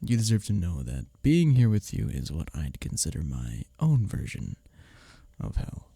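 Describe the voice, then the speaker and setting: A man playing a smug, taunting office rival in an intimate roleplay audio drama, sardonic and teasing, with a husky low voice. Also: huge pitch swings, moderate pace, dark tone, dry recording, full character voice. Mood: exasperated, sarcastic. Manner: sardonic, teasing, needling, with breathy chuckles.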